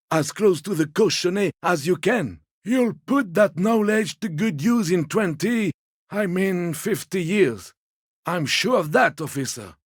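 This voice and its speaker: An actor playing an elderly, polite and evasive old man in a video game, speaking in a mild, apologetic and deferential way with a husky voice. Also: fairly high pitch, dry recording, studio quality. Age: older. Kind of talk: video game character dialogue.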